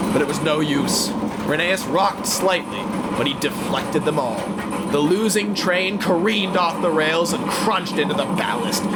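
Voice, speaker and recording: A man's voice: fairly high pitch, background noise. A man narrating a scripted story in a suspenseful, theatrical way. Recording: music behind the voice.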